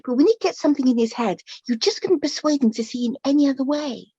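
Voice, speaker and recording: A woman's voice: sits high, expressive, warm tone, no echo. An actress playing a chatty, affectionate middle-aged mother of the bride, slightly flustered and hesitant, in a radio play. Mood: fond, chatty. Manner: hesitant, halting, chatty, with small nervous repetitions. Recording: dull lo-fi, slightly muffled recording.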